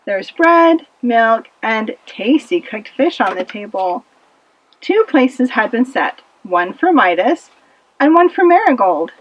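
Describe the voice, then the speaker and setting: A woman narrating a children's puppet-show fable with expressive, dramatic delivery, in a slightly muffled recording. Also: fairly high pitch, takes it slowly. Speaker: storyteller.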